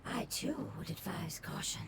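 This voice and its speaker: A woman playing a composed, cautious traveling companion in a video game, speaking in a formal, measured way. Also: on the low side, animated, whispered. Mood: cautious, grave. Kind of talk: scripted video game dialogue.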